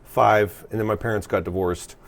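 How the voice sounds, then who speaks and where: A man with an American accent chatting casually as a guest, sweary and halting as he corrects himself. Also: fairly low pitch, steady tone, gravelly. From California, US. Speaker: guest, punk drummer. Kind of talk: casual chat, telling own story.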